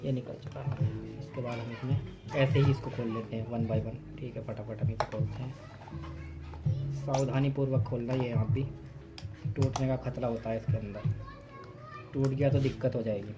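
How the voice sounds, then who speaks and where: Brisk man talking through a repair. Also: medium pitch, steady tone, over loud music. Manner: brisk, instructive, repeats "fatafat" and "theek hai". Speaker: repair tutorial host. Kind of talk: hands-on repair demonstration.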